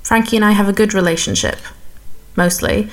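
An actress playing an earnest young woman in an audio drama, speaking haltingly and tenderly. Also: mid-range voice, close to an everyday voice, rough recording. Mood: fond, hesitant. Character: earnest young woman pleading for missing girlfriend. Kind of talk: naturalistic audio drama monologue.

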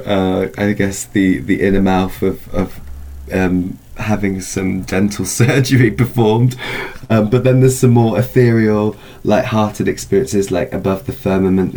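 A man's voice: low voice, darkish tone, poor audio. A man speaking as a festival organiser in a conversational interview, explaining a programme in searching phrases with repeated words.

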